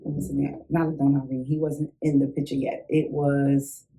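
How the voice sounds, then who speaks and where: A young woman talks through her childhood memories in an easygoing interview. She is animated, laughs often and acts out what she felt back then. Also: a bass voice, moderate pace, vocal fry, poor audio. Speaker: interview guest. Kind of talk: casual conversational storytelling.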